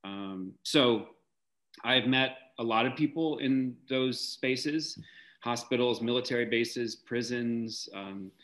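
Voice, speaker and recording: A man in his forties answering reflectively as an interview guest on a video call, articulate and measured, pausing to search for words. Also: medium pitch. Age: 40s. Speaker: interview guest, theater director. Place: indoor room, video call.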